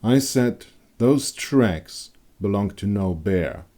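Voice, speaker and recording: A storyteller plays a hardened older male monster hunter in a dark fantasy tale. His delivery is blunt and unflinching, with controlled contempt and a commanding edge. The voice is low. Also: animated, slow. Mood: contemptuous.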